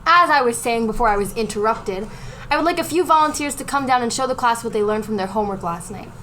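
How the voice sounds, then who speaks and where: Woman as a sharp, high-voiced strict professor. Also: animated, fast, slight background noise, rough recording. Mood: commanding. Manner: curt, haughty, commanding. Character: strict, imperious, impatient female magic-school professor. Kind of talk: amateur radio play acting.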